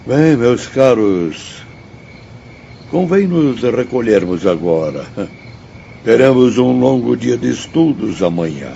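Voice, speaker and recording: An actor with a Brazilian accent playing a learned, courteous spirit teacher in a radio drama, speaking in a formal, measured, didactic way. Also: male voice, mid-range voice, sing-song, creaky, warm tone, heavily acted. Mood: solemn, didactic. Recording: dull audio, faint noise, night crickets.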